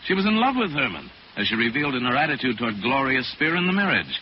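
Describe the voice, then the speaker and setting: An actor playing a calm, authoritative crime-fighting detective in 1940s radio style, with measured, formal, explanatory delivery. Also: male voice, mid-range voice, lightly acted. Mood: assured, explaining. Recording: noisy, muffled 1940s radio recording.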